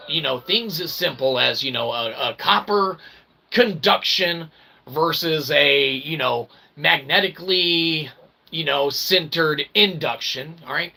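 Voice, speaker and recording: A man's voice: a little high, gravelly, pushed and bright, slightly rough. A man giving a casual, rambling monologue in a folksy, irreverent way, full of slang and frequent check-ins with the listener. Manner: folksy, repeatedly checking in with "okay" and "all right"; irreverent, slangy, mock-grand wording. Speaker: independent researcher and vlogger.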